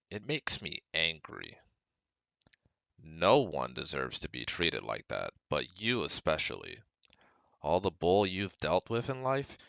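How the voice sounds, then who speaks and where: Very deep, gravelly young man, acting as caring friend. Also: fairly bright tone, no room sound, full character voice. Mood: protective, angry. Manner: reassuring, earnest, talks directly to a close friend. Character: caring, protective male best friend, young pre-med psychology student. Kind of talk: scripted ASMR roleplay, speaking to the listener.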